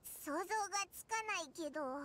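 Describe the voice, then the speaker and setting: A woman voicing a small, childlike fairy companion in an anime game, curious and blunt with a squeaky voice. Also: animated, normal speed, fairly bright tone. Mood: musing. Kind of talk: Japanese anime game voice acting.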